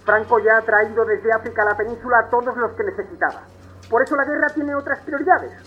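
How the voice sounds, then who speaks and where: A man's voice: extremely high pitch, fast, dull audio. A man playing a dismissive government undersecretary, curt and condescending. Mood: desdeñoso. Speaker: undersecretary in a radio drama. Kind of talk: amateur radio drama acting.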